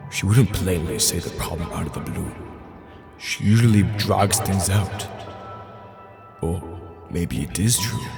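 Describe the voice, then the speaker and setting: An actor playing an earnest, observant young warrior and protective lover in a dramatic audio drama, speaking in a pensive way. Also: male voice, sits low, vocal fry, heavily acted. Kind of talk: dramatic audio drama, inner monologue and dialogue.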